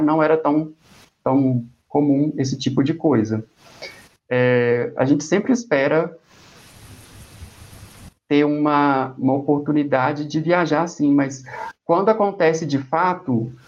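Young Brazilian man, dull recording. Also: fairly high pitch. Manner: relaxed, rambling with restarts. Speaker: exchange student guest. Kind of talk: conversational interview.

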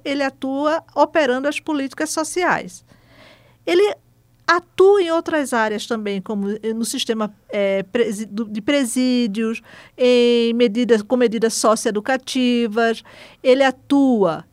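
Female professor, Recife accent, high register, dry close sound, measured explanatory delivery. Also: no echo. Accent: Pernambuco Portuguese. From Recife, Brazil. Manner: measured, lecturing, frequent "né?" tags. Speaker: guest, university course coordinator. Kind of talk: explanatory interview answers.

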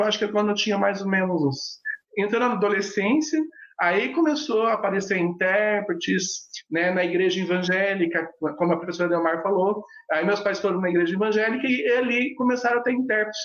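A man with a notably high voice, whose first language is sign language, tells his life story in halting speech full of fillers and restarts. The sound is muffled and lo-fi, carrying the echo of a reverberant room. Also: fairly bright tone. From Brazil. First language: Brazilian Sign Language. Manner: hesitant, many fillers and restarts. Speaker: pastor and sign language interpreter. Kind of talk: personal storytelling in an interview. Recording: echoey, dull lo-fi sound.

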